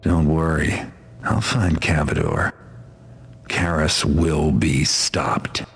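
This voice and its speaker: An actor playing a cynical, world-weary master thief in a video game, gravelly, dry and understated. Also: male voice, fairly even intonation, takes it slowly, fairly bright tone, heavily acted. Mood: resolute. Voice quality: gravelly, low. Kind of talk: video game narration and dialogue.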